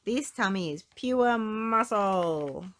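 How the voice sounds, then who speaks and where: A woman's voice: mid-range voice, animated, slow. A woman voicing a jovial, proud daddy pig from a children's storybook.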